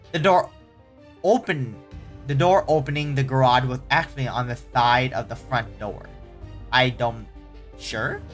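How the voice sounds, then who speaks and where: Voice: medium pitch, a bit bright. A casual host reading online horror stories aloud, often stumbling and correcting the wording, with startled, exclaiming reactions in between.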